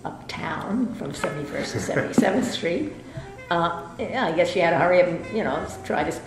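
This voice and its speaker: An older woman from New York, an interviewee, remembering her past in an unhurried way with many hesitations. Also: lowish voice, lively intonation. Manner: unhurried, with frequent hesitations and restarts. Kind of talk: casual reminiscing interview. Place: indoor library room with bookshelves. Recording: roomy echo, music underneath.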